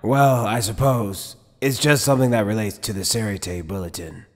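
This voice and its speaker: A man playing an easygoing but shrewd middle-aged head captain in an anime fan dub, speaking in a measured, wry, casual way. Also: fairly low pitch, natural voice. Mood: unhurried. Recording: long hall reverb.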